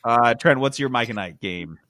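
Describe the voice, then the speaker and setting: A man's voice: medium pitch, lively intonation, normal speed, pushed and bright, no room sound, studio quality. A man hosting a podcast with casual, joking and teasing banter, steering the discussion.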